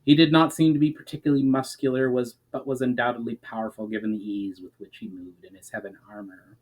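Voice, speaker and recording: A man's voice: medium pitch, steady tone, fast, darkish tone, rough recording. A man giving an earnest dramatic reading of a fantasy story, phrase by phrase, letting his line endings fall. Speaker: author reading own story chapter aloud.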